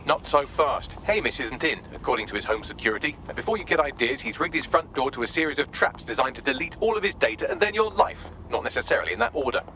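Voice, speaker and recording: A man's voice: on the high side, expressive, fast talker, few pauses, bright, forceful tone, a little hiss or hum. A man playing a witty, sardonic AI assistant in a video game, with a dry, articulate, sarcastic manner. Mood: dry teasing. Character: witty, sardonic male AI assistant briefing resistance operatives. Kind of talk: video game mission briefing.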